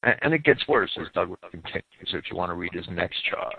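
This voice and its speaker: A man's voice: deep voice, expressive, quick pace, gravelly, a little hiss or hum. A man giving a lecture-style radio talk that explains and cites sources at length, often repeating words as he restarts. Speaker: radio program speaker answering critics. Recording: tinny phone-line radio audio.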